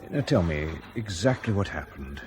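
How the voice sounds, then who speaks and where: An actor playing a senior fleet pilot in a radio drama, measured and sceptical, reasoning deliberately with sardonic emphasis. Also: male voice, deep voice, big pitch swings, background noise. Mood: grave, firm.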